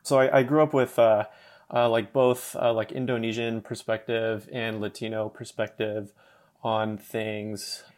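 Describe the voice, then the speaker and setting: A man in his twenties from Los Angeles talks in a halting, casual way, full of fillers. He laughs easily as he chats. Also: lowish voice, flat delivery, darkish tone. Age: 20s. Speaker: podcast guest. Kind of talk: casual conversation.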